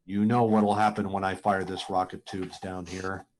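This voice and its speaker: A man's voice: sits low, flat delivery, moderate pace, darkish tone, a little hiss or hum, close to an everyday voice. A man playing a desperate, threatening pilot in a radio drama. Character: desperate male pilot threatening to blast the base. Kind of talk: live radio drama acting.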